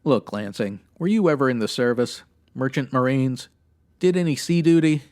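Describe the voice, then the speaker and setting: An American man playing a stern, by-the-book police sergeant in a 1950s radio detective parody, clipped and deadpan. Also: mid-range voice, moderate pace, no room sound, studio quality, natural voice. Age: middle-aged.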